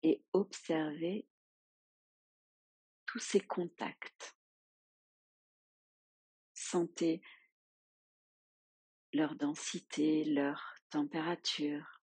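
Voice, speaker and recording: A woman leading a guided yoga nidra relaxation as a teacher, giving soothing, unhurried instructions with long pauses. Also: low voice, steady tone, darkish tone, breathy edge, no echo, low-quality recording.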